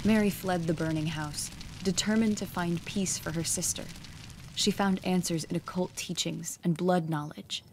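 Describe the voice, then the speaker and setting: Low-voiced woman narrating a horror tale. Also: fairly even intonation, normal speed, noisy background. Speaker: storyteller. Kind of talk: scripted horror story narration. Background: music.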